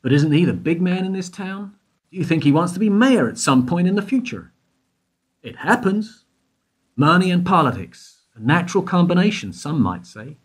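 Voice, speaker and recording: Man doing a persistent investigator, husky voice, lively pitch, needling questions, radio play. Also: moderate pace, heavily acted. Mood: probing. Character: probing male detective questioning a guard. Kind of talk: amateur radio play acting.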